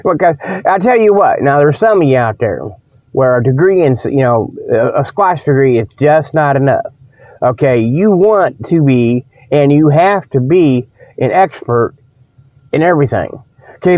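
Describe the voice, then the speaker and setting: A man hosting a comedy show with a folksy, rambling monologue of tongue-in-cheek sales pitches, peppered with verbal tags. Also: no room sound. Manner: folksy, repeats "you know" and "okay" as tags; chuckles at own jokes. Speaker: host of a comedy radio show. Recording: dull, dry radio recording.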